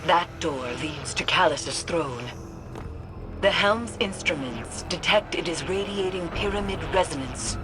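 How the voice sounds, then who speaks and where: An actress playing a grave mystic guide in a video game, speaking in solemn, formal, cryptic phrases of warning. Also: female voice, fairly low pitch, lively intonation, measured pace. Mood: solemn, foreboding. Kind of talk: scripted video game dialogue. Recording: loud music behind the voice.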